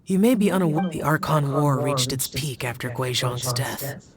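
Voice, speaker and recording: A woman voicing a calm, stern female immortal guardian in a video game, speaking formally and with composure. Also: extremely low pitch, normal speed. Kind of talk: video game character acting. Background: music.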